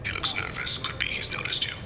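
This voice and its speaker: A man voicing a calm, stealthy alien assassin in a video game, terse and formal, in a gravelly near-whisper over an echoing comm. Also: fast, noisy background. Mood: calm, watchful. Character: soft-spoken, stealthy male alien assassin, calm and watchful. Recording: echoey, distant comm-link sound.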